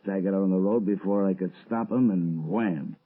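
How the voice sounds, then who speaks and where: A man's voice: deep voice, normal speed, slightly rough. An actor playing a menacing, mocking crook with sneering, slangy tough-guy talk in a 1930s radio crime drama. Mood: gloating. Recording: muffled, tinny old radio recording.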